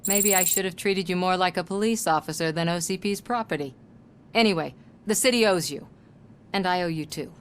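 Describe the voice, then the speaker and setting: An actress playing a sardonic, determined investigative reporter in a video game, speaking in a wry, pointed way. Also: female voice, fairly low pitch, a little fast, slight background noise, natural voice. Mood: softened, wry.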